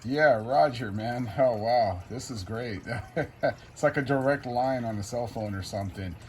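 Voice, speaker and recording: A man's voice: mid-range voice, measured pace. A man chatting casually as a CB radio operator, informal and laid-back. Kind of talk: casual radio contact chat. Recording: very noisy CB radio setting, static.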